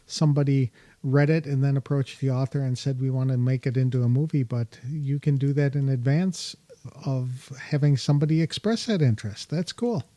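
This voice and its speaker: A woman with a Wisconsin accent hosting a podcast interview, her voice dark and mellow. She is recorded close and dry, and she keeps the guest going with short, encouraging replies. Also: rumbling low, brisk, dry recording. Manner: encouraging, frequent short backchannels. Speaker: podcast host.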